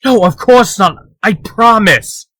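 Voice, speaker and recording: Man acting bright, cocky trickster suspect. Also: high voice, expressive, slow pace, dry recording, exaggerated voice. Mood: evasive. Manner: glib, self-justifying. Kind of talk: comic dub dramatic acting.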